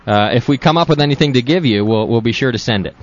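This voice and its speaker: A man's voice: mid-range voice, quick pace, very noisy. A man hosting a casual comedic talk show, laughing often and teasing his co-host. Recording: dull lo-fi 2000s recording, dry.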